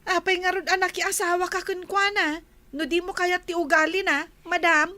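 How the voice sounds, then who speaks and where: A woman's voice: very high voice, fairly even intonation, normal speed, pushed and bright, dry recording. A woman playing a forthright, talkative household helper in a radio drama. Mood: blunt.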